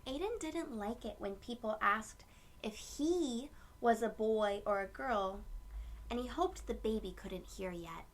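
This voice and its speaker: A woman reading a picture book aloud to young children, with gentle storytelling phrasing and asides to her listeners. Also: fairly high pitch, lively intonation, measured pace.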